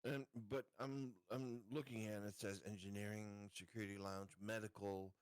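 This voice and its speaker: A man's voice: lowish voice, level tone, normal speed, clear, resonant tone, no echo. A man playing a tabletop game, chatting casually and asking questions with stumbling phrasing.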